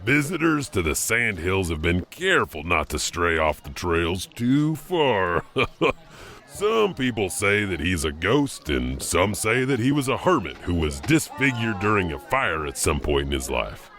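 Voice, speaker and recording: A man's voice: low-pitched, big pitch swings, unhurried, a bit bright, heavily acted. A man playing a sardonic horror host, narrating scripted spooky legends in a theatrical, darkly humorous manner. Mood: ghoulish relish.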